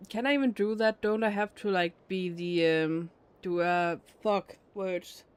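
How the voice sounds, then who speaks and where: A man's voice: high-pitched, steady tone, measured pace, clear, resonant tone. A man streaming a game with casual, teasing, self-deprecating commentary and some swearing. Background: game music.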